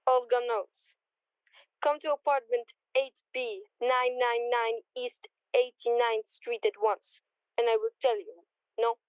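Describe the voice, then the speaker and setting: A woman's voice: on the high side, steady tone, unhurried, clear, resonant tone, no room sound, narrow, tinny audio, close to an everyday voice. A woman playing a mysterious, knowing temptress in a radio detective drama, speaking clipped, cryptic English.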